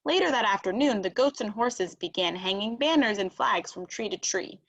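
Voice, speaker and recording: A woman reading a children's storybook aloud as narrator, expressive and emphatic. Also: medium pitch, normal speed. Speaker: storybook reader.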